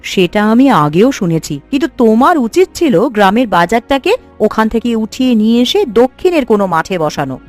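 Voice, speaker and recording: An actress voicing a caring, outspoken village housewife in a cartoon. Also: female voice, on the high side, sing-song, fairly quick, soft background music. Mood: exasperated. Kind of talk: cartoon voice acting.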